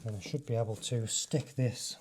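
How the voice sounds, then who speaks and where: A man's voice: mid-range voice, normal speed, a little mellow, slight background noise. A man giving a casual hands-on demonstration, thinking aloud informally as he works through each step. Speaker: motovlogger presenting a fitting tutorial.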